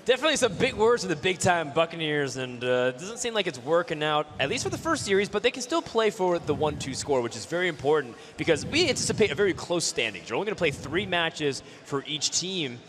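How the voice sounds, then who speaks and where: A man's voice: fairly high pitch, sing-song, fast talker, hardly any pauses, fairly bright tone, soft background music. A man giving live commentary as an esports commentator at a video game tournament.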